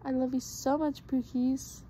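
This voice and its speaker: A woman's voice: high voice, little pitch movement, a little slow, warm tone, slight background noise, rough recording. A young woman vlogger chatting casually to her viewers, rambling with lots of fillers and restarts. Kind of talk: casual talk to camera.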